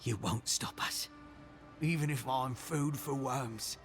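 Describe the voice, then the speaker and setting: An actor playing a dying imperial loyalist in a game cutscene, bitter and defiant, with a strained, gravelly voice. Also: male voice, medium pitch, slow, faint music behind, lightly acted. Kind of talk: dramatic video game cutscene acting.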